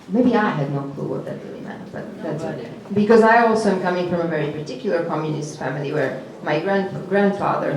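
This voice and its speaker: A woman from the former Yugoslavia whose first language is Serbo-Croatian, speaking as a panelist in a reflective, halting way. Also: sits low, a little mellow. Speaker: panelist, performance artist. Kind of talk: panel discussion answer. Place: gallery event space. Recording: echoey gallery room.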